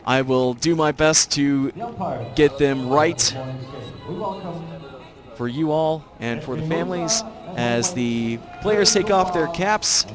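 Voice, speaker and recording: A man's voice: on the high side, slow pace, music in the background. A man from the United States calling a baseball game live, reading out the lineups carefully and apologizing for his pronunciation of the names. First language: English. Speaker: play-by-play commentator. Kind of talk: live sports commentary. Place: outdoor ballpark broadcast.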